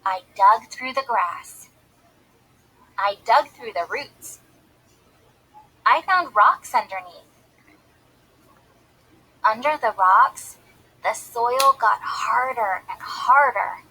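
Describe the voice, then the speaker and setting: A curious young girl narrates her storybook world, read by a woman. The voice is forward and bright with a breathy grain. She takes it slowly, in simple, deliberate phrases for young listeners. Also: a little high, expressive, heavily acted, low-quality recording. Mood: earnest. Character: Vivian, curious young girl watching building machines.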